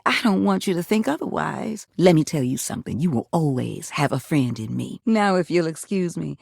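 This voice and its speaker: An actress playing a tender-hearted, proud household appliance come alive in a video game, with a folksy, candid manner. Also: female voice, low voice, expressive, moderate pace, creaky, a little mellow, husky, no room sound, studio quality, full character voice. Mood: gentle, apologetic. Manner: folksy, affectionate, emotionally candid. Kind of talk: video game character acting.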